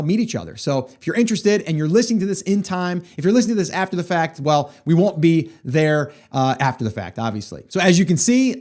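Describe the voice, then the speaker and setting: Hoarse chatty middle-aged man. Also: on the high side, darkish tone. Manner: chatty storytelling, many fillers. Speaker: podcast host. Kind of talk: casual solo podcast monologue.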